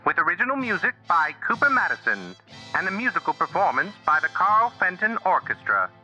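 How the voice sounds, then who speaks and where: An actor playing a formal, theatrical old-time radio announcer, reading scripted credits with a showy manner and a vintage radio sound. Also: male voice, high voice, very animated, a little fast, sharp tone, faint music behind, full character voice, poor audio. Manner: formal, showy, crisp delivery.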